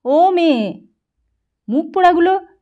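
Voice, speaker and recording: A woman's voice: very high-pitched, lively intonation, a little slow, dark tone, muffled recording, pitched above the performer's own voice. A woman voicing a cranky, cursing old grandfather in an audiobook, scolding in repeated exclamations. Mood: gruffly scolding. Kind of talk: audiobook character voice.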